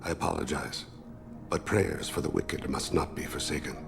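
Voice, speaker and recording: An extremely deep, heavily gravelled male alien assassin from a sci-fi game, ringing in a long hall reverb. He is solemn and devout, with formal, measured, philosophical delivery. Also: exaggerated voice. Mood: solemn, reflective. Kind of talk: video game voice acting.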